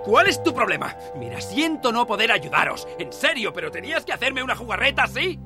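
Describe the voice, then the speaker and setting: A man's voice: extremely high pitch, very animated, a little fast, voice pushed up, heavily acted. A man voicing an irritated male cowboy doll who snaps sarcastic accusations in a storybook reading. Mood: annoyed. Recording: music playing under the voice.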